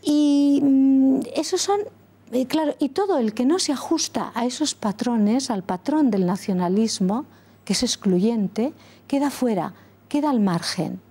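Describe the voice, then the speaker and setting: A middle-aged woman from Catalonia, a former school principal, answering interview questions earnestly and deliberately, pausing and correcting herself often. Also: high voice, animated, dark tone, husky. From Catalonia, Spain. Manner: deliberate, with frequent pauses and self-corrections.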